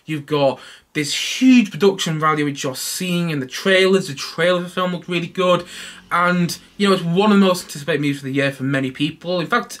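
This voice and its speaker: A young man hosting his own film review podcast from a small home room, talking casually and animatedly, with a stuffy nose from hay fever. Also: fairly high pitch. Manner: animated, waves his hands while talking. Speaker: podcast host.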